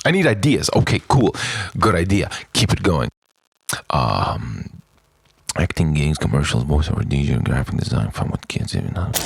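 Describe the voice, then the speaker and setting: A man performing a theatrical, stream-of-consciousness spoken-word monologue in a brooding, eccentric, gravelly manner. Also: extremely low pitch, sing-song, moderate pace, a little hiss or hum. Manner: dramatic, brooding, swinging between murmurs and eccentric outbursts. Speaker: writer-performer of a personal monologue.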